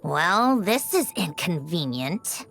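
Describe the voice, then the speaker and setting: An actress playing a haughty, refined marionette villain in a video game, prim and theatrical, with dismissive huffs and a softer side. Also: female voice, fairly low pitch, big pitch swings, slow, vocal fry, faint music behind, full character voice. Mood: annoyed, dismissive. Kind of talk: scripted video game character voice lines.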